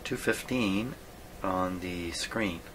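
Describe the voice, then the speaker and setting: A man with a deep voice talks slowly through a hands-on demonstration in a workshop. He mutters to himself and pauses often, thinking aloud. Steady noise sits clearly behind him. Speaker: host of a radio repair video. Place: radio repair workshop.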